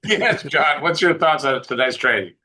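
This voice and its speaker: A man's voice: a little high, animated, moderate pace, pushed and bright. A man hosting an online team call, talking off the cuff and insistently, repeating points and checking that listeners follow. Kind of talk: unscripted motivational talk.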